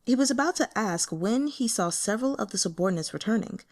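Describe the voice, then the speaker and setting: Expressive woman narrating, very animated pitch. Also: fast. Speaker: audiobook narrator. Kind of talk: scripted fiction narration.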